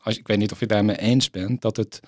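A man interviewing a guest conversationally, putting his questions in a tentative, halting way. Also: fairly low pitch, steady tone, darkish tone, dry recording, studio quality.

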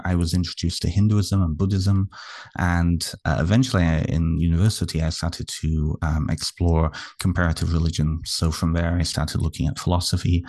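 Deep, gravelly British man, thoughtful. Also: darkish tone, no echo, studio quality. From United Kingdom, moved to Canada young. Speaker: podcast guest, author and therapist. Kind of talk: reflective interview conversation.

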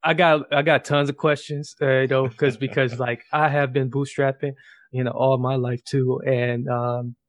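A man's voice: mid-range voice, level tone, normal speed, no echo. A man hosting a podcast interview in an informal, conversational way, often stumbling and repeating words. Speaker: podcast host.

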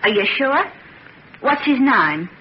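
A streetwise female thief on the run, blunt and wary. Her pitch moves freely as she talks in slangy old radio drama style. The sound is muffled, with faint noise behind it. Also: very animated, slow, exaggerated voice. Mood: uneasy. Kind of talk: old-time radio serial acting.

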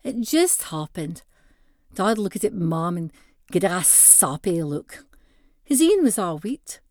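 A woman's voice: lowish voice, slow pace, dry recording, clean studio sound. A woman with a North-East Scottish accent expressively reading a first-person short story in dialect. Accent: North-East Scottish (Doric). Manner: expressive, acts out dialogue in dialect.

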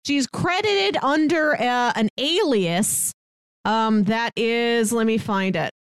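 Higher-voiced woman podcast host. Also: clear, resonant tone, dry recording, studio quality. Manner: explains with trivia, quick asides. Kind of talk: casual movie-review chat.

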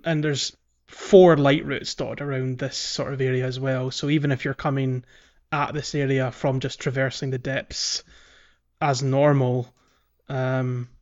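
A man hosting a casual gaming podcast, talking in a halting way and repeating words as he thinks aloud. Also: medium pitch, darkish tone. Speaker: podcast host. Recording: slightly muffled audio. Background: faint music.

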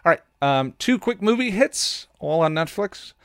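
A man's voice: on the high side, expressive, moderate pace, dry recording, clean studio sound. A man hosting a podcast, giving casual recommendations in an enthusiastic, rambling way, thinking aloud as he goes. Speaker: podcast host recommending shows. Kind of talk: casual chat, streaming recommendations.

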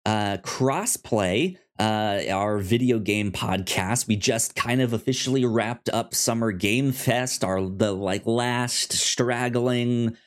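A man hosting a casual podcast discussion, speaking in a halting way with repeated words. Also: medium pitch. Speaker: podcast host.

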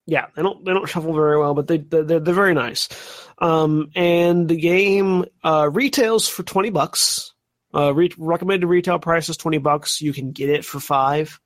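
A man hosting a casual board game review podcast, speaking haltingly and often repeating his words as he goes. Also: on the high side, no echo, studio quality. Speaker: podcast host.

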